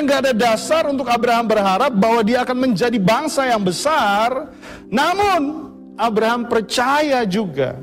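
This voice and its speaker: A pastor preaching a sermon to his congregation, addressing them again and again. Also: very high-pitched. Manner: preaching to the congregation, often calls them brothers and sisters.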